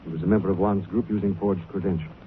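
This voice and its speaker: An actor playing a suave, wry gentleman detective with an urbane manner in a 1940s radio drama. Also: sits low, fairly even intonation, quick pace, lightly acted. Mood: smug, explanatory. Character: suave, wry gentleman amateur sleuth, affectionate and unruffled. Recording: tinny, noisy 1940s radio broadcast.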